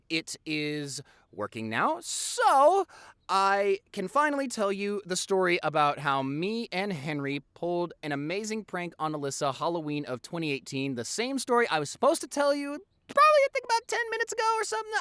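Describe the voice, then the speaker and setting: Animated, high-voiced rambling young boyfriend, acted by a man. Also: moderate pace, clean tone, dry recording, studio quality. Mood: playful, excited. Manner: scatterbrained, animated, stammering rambler. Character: playful, rambling young boyfriend telling childhood prank stories. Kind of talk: boyfriend roleplay audio, casual rambling storytelling.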